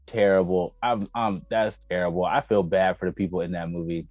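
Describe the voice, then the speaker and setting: A man's voice: deep voice, fairly even intonation, brisk, no room sound. A man chatting casually as a co-host, giving blunt, emphatic opinions in slangy, profane language. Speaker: podcast co-host. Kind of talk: casual opinionated chat about video game movies. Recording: dull lo-fi mic, music underneath.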